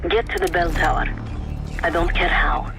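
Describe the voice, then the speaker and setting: A woman's voice: lowish voice, moderate pace, creaky, poor audio. A woman playing a tough, vengeful covert operative in an action game, terse and commanding as she guides a partner. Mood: cool, focused. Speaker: mission guide. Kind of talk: cinematic action game dialogue. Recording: loud game music underneath.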